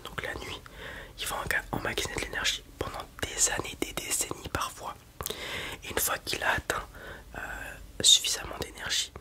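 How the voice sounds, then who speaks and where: A person whispering softly and breathily, improvising a hesitant, explanatory ASMR roleplay as a dragon breeder. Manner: soft-spoken, hesitant, explanatory.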